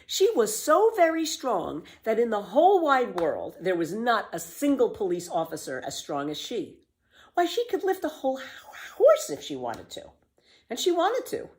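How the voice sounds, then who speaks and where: A woman reading a children's book aloud as a storyteller, with expressive, emphatic scripted narration. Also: fairly high pitch, moderate pace.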